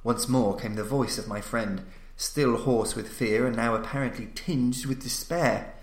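A man narrates gothic horror in a mid-pitched voice, in a roomy, echoing recording. His delivery is theatrical and solemn, built for suspense like a dramatic audiobook reading. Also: measured pace. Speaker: narrator, podcast reader.

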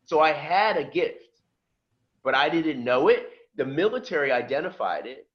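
A man's voice: on the high side, moderate pace, muffled recording. A middle-aged man from just outside Philadelphia, a podcast guest telling his life story in casual chat and acting out the voices of the people in it. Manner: lively storyteller who acts out quoted voices.

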